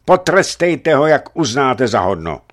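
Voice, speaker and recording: A man's voice: deep voice, big pitch swings, a bit bright. A man voicing a mighty archimandrite with a thundering, commanding voice in an audiobook.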